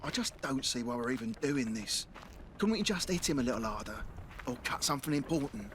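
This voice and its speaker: An actor playing a nervous, doubtful underling looter in a horror audio drama, hesitant and stammering. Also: male voice, medium pitch, lively intonation, brisk, a little hiss or hum, lightly acted.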